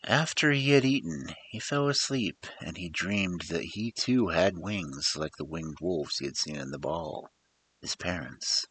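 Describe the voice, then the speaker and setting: A man's voice: low-pitched, measured pace, a bit bright, husky. A man reading a story aloud as a storyteller, in a theatrical, emphatic storybook manner. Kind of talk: dramatic scripted story narration.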